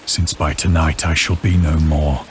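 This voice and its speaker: A man's voice: rumbling low, little pitch movement, a little slow, background noise. An actor playing a despairing, morphine-addicted man writing his last confession in grave, formal, literary narration. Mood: bleak despair. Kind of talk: dramatic scripted narration. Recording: faint rain and thunder ambience.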